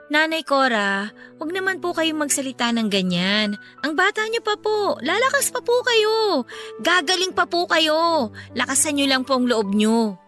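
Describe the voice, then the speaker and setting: A woman's voice: high voice, big pitch swings, a little slow, pushed and bright, soft background music, heavily acted. An actress playing a caring, devoted Filipino teenage girl in an emotional radio drama. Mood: worried, coaxing.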